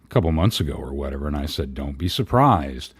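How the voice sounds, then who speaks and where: A man with a very deep, husky voice chatting casually as co-host of a livestream. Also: expressive, fast talker, no room sound, clean studio sound. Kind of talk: casual chat.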